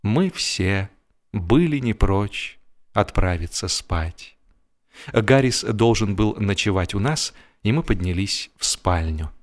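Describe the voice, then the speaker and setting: Guy reading a funny audiobook, kinda low voice, expressive and theatrical delivery. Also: slow. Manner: expressive, acts out the dialogue. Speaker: audiobook narrator. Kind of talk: scripted dramatic narration of humorous fiction.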